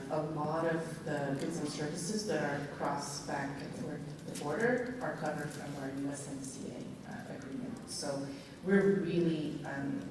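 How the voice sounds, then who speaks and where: A low-voiced woman from Montreal whose first language is French speaks English with a Quebec French accent. She answers panel questions in a measured way, pausing often with ums. She sounds far from the mic in a large echoey hall, with steady background noise. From Montreal, Canada. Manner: measured, many ums and restarts. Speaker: consulate panelist.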